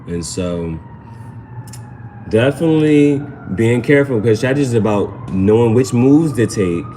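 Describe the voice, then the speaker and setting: A man's voice: mid-range voice, animated, moderate pace, warm tone, slight background noise. A man hosting a casual live tarot reading, chatting to viewers in slangy speech and often repeating his phrases. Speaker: tarot reader, livestream host.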